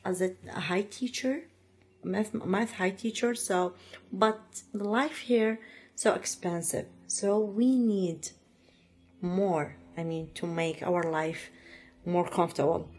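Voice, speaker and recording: Slow, halting woman from Iraq. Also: medium pitch, fairly even intonation, a little mellow, breathy edge. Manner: halting, many fillers and restarts, second-language phrasing. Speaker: interviewee. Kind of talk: personal story, casual.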